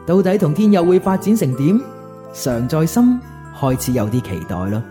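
A man's voice: fairly high pitch, sing-song, normal speed, over music. A man narrating a radio drama as a scripted storyteller.

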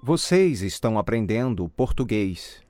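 Slow, lively-pitched man reciting drill sentences. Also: background noise. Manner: careful, deliberate enunciation. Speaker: language course model speaker. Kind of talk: scripted model answers in a language drill.

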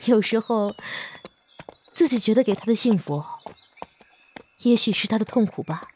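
A woman's voice: fairly high pitch, animated, moderate pace, darkish tone, a little hiss or hum, lightly acted. A woman playing a loyal bodyguard disguised as a man, composed and earnest with a regretful streak, in a period audio drama.

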